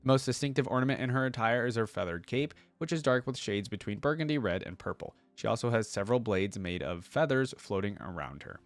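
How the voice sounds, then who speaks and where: A man's voice: mid-range voice, lively intonation, fairly quick, hardly any pauses, no echo. A man giving casual reaction commentary as a video creator, musing aloud informally and sometimes reading text out.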